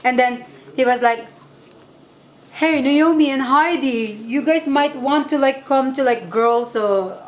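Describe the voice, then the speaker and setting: A woman's voice: high voice, measured pace, rough recording. A woman in her thirties with a Filipino accent, chatting and laughing with friends at a home dining table, mixing English with Tagalog. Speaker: vlog co-host. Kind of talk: casual chat over a meal.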